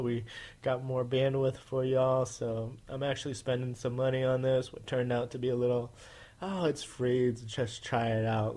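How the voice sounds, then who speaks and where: A man's voice: mid-range voice, a little mellow. A man hosting a casual podcast, with a nasal voice, rambling with many fillers and restarts. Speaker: podcast host.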